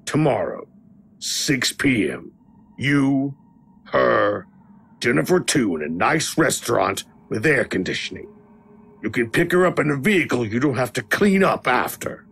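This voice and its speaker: A slow, gravelly, sly prisoner. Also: male voice, medium pitch, sing-song, fairly bright tone, heavily acted. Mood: taunting, tempting. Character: sly chained male prisoner, smooth tempter and bargainer. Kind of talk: audio drama acting.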